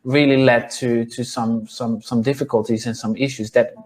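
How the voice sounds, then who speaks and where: A man in his thirties with a Dutch accent, talking in a careful, halting way, full of pauses and restarts. He speaks at an ordinary, mid-range pitch. He is recorded over a video call with a lapel mic. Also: level tone, darkish tone. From Netherlands. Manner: hesitant, many ums and restarts. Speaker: guest nutritionist. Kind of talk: casual video-call interview. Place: small room indoors.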